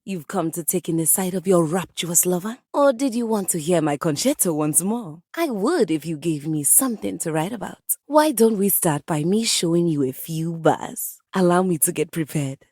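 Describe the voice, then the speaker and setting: A woman's voice: on the low side, lively intonation, normal speed, few pauses, darkish tone, no echo, clean studio sound. A woman playing a passionate piano come to life in a video game, a haughty, dramatic composer with florid, formal diction. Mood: grand, flirtatious. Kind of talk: video game character voice acting.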